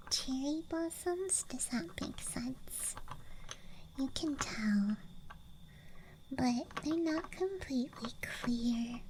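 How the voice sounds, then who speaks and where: A woman doing a whispered ASMR show-and-tell, murmuring softly and breathily to the listener in an unhurried way, as the host. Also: high voice. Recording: faint background noise, outdoor night ambience. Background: faint rural night ambience sounds.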